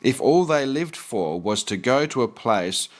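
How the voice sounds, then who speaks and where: A man's voice: medium pitch, expressive, measured pace. Earnest man preaching and reading aloud. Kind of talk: preaching commentary with read-aloud quotations.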